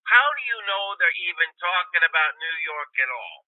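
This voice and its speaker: A man's voice: a little high, animated. A man playing a placating, dismissive police desk officer over a telephone in a 1940s-style suspense radio play. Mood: sceptical, impatient. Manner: dismissive, patronising, drawn-out reassurances. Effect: telephone filter. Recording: thin, narrow telephone-line sound.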